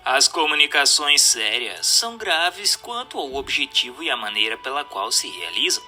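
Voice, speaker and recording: Bright, forceful Brazilian male narrator over music. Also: medium pitch, sing-song. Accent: Brazilian Portuguese. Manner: formal, measured, didactic reading. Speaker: narrator of an audiobook reading. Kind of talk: scripted narration of a spiritual text.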